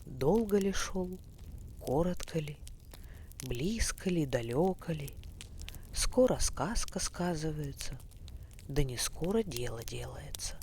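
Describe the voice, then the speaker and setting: Calm male storyteller, very slow hushed bedtime narration, faint fire crackle behind. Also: fairly high pitch. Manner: hushed, breathy, unhurried. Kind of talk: bedtime fairy-tale narration. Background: faint fireplace crackle.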